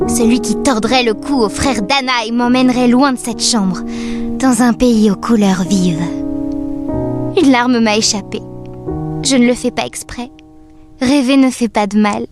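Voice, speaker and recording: An actress playing a dreamy, wistful doll who tells her own story in measured, poetic narration for an audio drama. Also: a little high, close to an everyday voice. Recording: music under the voice. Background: music.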